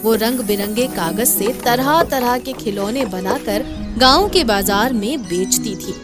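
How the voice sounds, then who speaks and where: A woman's voice: a little high, unhurried, music in the background. A woman narrating a scripted moral story as a storyteller.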